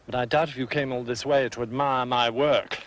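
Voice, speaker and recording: A man's voice: medium pitch, steady tone, normal speed, lightly acted. Curt, prickly British man, played by an actor. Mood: dry, guarded. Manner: curt, dry, irritable. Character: gruff, prickly painter under suspicion. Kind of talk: British detective drama dialogue.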